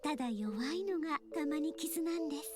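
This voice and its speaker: A young woman playing a gentle, wistful, polite schoolgirl reading her letter aloud in a visual novel game. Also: high-pitched, expressive, rapid-fire. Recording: background music over game dialogue.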